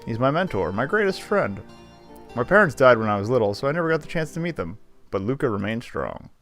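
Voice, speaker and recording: A man's voice: mid-range voice, sing-song, brisk, fairly bright tone. A man streaming a game, casually commenting and reading the on-screen text aloud. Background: faint game music.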